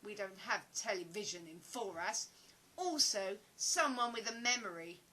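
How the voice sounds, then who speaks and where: A woman acting in an audio play as a sharp, suspicious woman who interrogates a stranger in an accusing, theatrical, easily indignant way. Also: mid-range voice, normal speed, low-quality recording.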